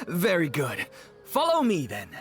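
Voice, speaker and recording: Courteous fantasy game organizer, formal yet eager, with hugely expressive melodic swings. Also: medium pitch, a little slow, over music. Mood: eager, inviting. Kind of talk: video game voice acting.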